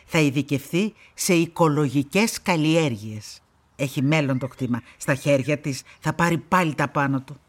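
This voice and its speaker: An older woman playing a stern, proud grandmother in a radio play, speaking in an emphatic, brusque and opinionated way. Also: deep voice. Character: stern, proud old grandmother who dotes on her granddaughter.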